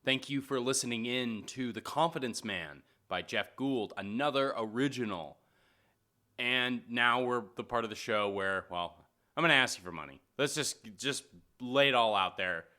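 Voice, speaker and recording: Brief: man with a bright, crisp voice, chatty and candid, asking for donations. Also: mid-range voice, moderate pace. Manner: candid, loose, chatty with fillers. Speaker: sound designer making a donation appeal. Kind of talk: casual spoken appeal.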